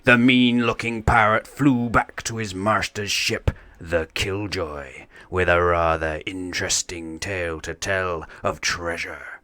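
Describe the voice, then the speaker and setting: Male narrator for children's storybook: slow, deliberate pacing, crisp bright tone, close dry sound. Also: sits low, steady tone, dry recording. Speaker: storybook reader.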